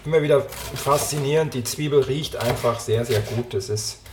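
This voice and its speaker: Casual podcast host, mid-pitched man, a bit hoarse from a cold, home kitchen with clear clatter of pans. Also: moderate pace, background noise. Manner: relaxed, talks while chopping and cooking. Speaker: podcast host cooking a recipe. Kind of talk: casual cooking podcast chat. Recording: kitchen clatter and clicks behind. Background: dishes, pots and pans clattering.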